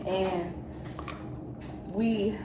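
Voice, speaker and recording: A young woman with a medium-pitched voice recounts her own story conversationally, quoting back what was said. She is in an echoey room with noticeable noise. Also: steady tone, drawn-out words. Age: young adult. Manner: conversational, quotes remembered exchanges. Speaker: daughter co-hosting a storytime vlog. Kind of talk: casual personal storytelling.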